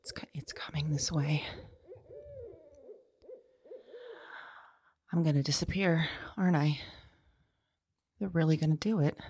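A woman playing a frightened, anguished woman awaiting arrest in an audio drama, speaking haltingly and often dropping into breathy whispers. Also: sits low, fairly even intonation, dark tone. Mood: hushed despair.

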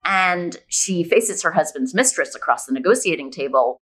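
Lower-voiced middle-aged woman from Scotland. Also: animated, normal speed, fairly bright tone, rough recording. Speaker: guest, novelist. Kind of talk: conversational interview answers.

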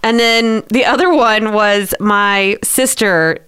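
Chatty giggly woman hosting a podcast, smooth clear voice, middle pitch. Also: takes it slowly, a bit bright. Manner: chatty, giggly, says "like" a lot. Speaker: podcast host. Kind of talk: casual chat.

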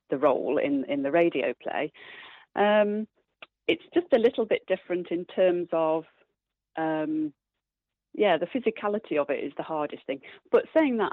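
A low-pitched woman speaks over a narrow, phone-like connection with very little room sound. She is a guest in a casual radio interview, explaining things agreeably as an amateur theatre performer. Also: no echo. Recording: thin phone-like radio line.

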